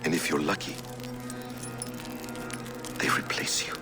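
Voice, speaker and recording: A man playing a grave, grieving middle-aged assassin in a video game, speaking in a measured, brooding way. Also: natural voice. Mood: grieving, bitter. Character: grave, grieving Hidden One assassin, a bereaved father. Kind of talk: cinematic video game dialogue acting. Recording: music behind the voice. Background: game music.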